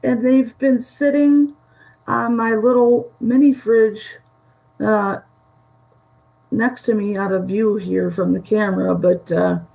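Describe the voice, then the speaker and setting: A woman from the Buffalo area with a Western New York Great Lakes accent, chatting slowly with many pauses on a live stream from home. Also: medium pitch, steady tone, warm tone, low-quality recording. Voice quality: gravelly. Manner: slow, halting, many fillers. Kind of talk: casual live stream chat. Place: home room.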